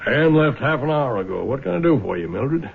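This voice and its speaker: An actor playing a dry, stubborn, honest older man in a 1970s radio drama, speaking in a measured, sardonic way. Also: mid-range voice, expressive, creaky, phone-quality sound, noisy background. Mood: wry, resigned. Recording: thin, band-limited vintage radio recording.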